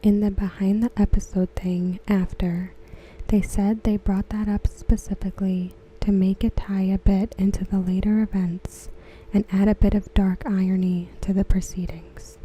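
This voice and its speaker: A woman softly reading aloud for ASMR in a breathy near-whisper, gentle and unhurried. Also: medium pitch, a little hiss or hum, low-quality recording. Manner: hushed, gentle, unhurried delivery. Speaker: ASMR creator. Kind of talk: soft-spoken ASMR read-aloud of written comments.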